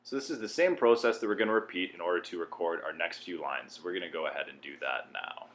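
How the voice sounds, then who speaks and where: A man with an informal, explanatory manner, talking through a software tutorial step by step. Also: low voice, expressive, fast. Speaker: tutorial presenter.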